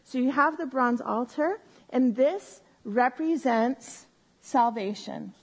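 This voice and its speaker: A woman teaching a Bible lesson to a live group on a microphone, explaining slowly and step by step and often checking in with "right?". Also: sits high, slightly rough. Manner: says she has a loud voice. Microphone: handheld or stand mic.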